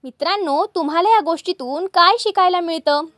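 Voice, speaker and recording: A woman narrating a scripted fable for children, expressive and speaking directly to her young listeners. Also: extremely high pitch, brisk. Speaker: children's storyteller.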